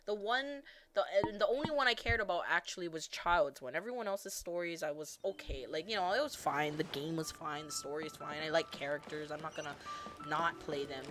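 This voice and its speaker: A woman streamer with a slightly low voice talks in a loose, gushing ramble, full of fillers and casual swearing. Music plays clearly behind her voice. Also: fairly quick. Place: home streaming setup.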